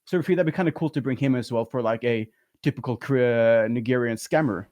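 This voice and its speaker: A man's voice: mid-range voice, a little fast, a little mellow. A man with a light voice, a talkative guest in a casual interview who rambles and laughs often. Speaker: guest, YouTube content creator.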